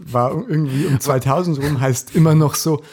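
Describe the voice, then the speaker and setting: A man's voice: a little high, normal speed, a little mellow, breathy edge. A young man co-hosting a casual podcast on a close condenser mic, dryly ironic and agreeable. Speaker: podcast co-host. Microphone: close condenser microphone.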